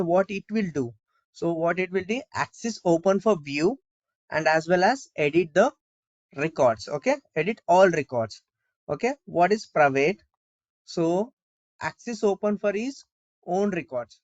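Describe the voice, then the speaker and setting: A man giving an informal step-by-step software tutorial, often asking and answering his own questions as he explains. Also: on the high side, no echo, muffled recording. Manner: repetitive explaining with rhetorical questions.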